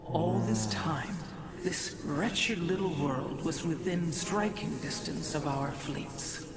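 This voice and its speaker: A woman's voice: low-pitched, slow, over a noisy crowd. A woman playing an ancient female alien preserver, proud, rigid and disdainful, speaking formally and solemnly. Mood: stern disdain. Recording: game audio with loud background noise.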